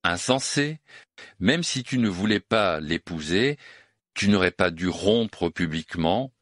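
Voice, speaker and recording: A man's voice: sits low, expressive, unhurried, fairly bright tone, dry recording, clean studio sound, exaggerated voice. An actor dubbing a stern middle-aged father who scolds his family about its dignity. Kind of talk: dubbed drama acting.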